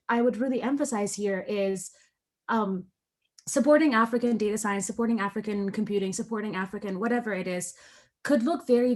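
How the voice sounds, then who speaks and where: Earnest woman panelist from Ethiopia. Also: medium pitch. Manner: earnest and conversational, tags points with "right?". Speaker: researcher and panelist. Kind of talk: online panel discussion. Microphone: video call.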